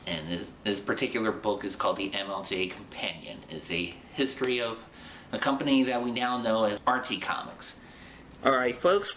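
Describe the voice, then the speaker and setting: A man's voice: mid-range voice, pushed and bright. A man hosting an interview show, talking in a chatty, informal way. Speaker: host. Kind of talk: podcast interview and show introduction. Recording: roomy echo with clear background noise.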